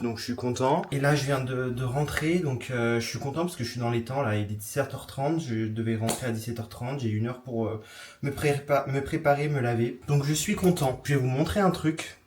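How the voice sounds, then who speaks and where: A man's voice: mid-range voice, slight background noise. A young man talking casually to camera in a vlog, rambling with lots of fillers and restarts. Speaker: vlogger.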